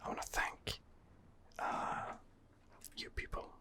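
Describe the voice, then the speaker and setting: A man giving whispered ASMR commentary on a game as its host, in a hesitant, rambling manner. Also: slow pace. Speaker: gaming video host.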